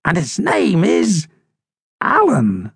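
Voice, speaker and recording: A crusty old female seabird from a children's storybook. The voice sits high and swoops dramatically, salty and full of dark warning, with slow, weighty delivery. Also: huge pitch swings, vocal fry, slightly rough, no room sound, clean studio sound, exaggerated voice. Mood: ominous warning. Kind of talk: storybook character reading.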